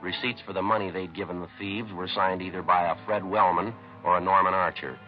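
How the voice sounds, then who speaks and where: An actor playing a terse American police sergeant in a 1950s radio drama, clipped, deadpan and matter-of-fact. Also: male voice, low voice, brisk, natural voice. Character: businesslike, terse male police sergeant detective. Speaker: lead actor and narrator. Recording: dull 1950s radio recording, music behind.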